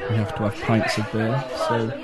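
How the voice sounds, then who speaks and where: A man from Manchester with a Mancunian accent, acting as a local guide and explaining in a relaxed way with lots of ums. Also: lowish voice, moderate pace, crowd noise. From Manchester, England. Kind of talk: casual explaining.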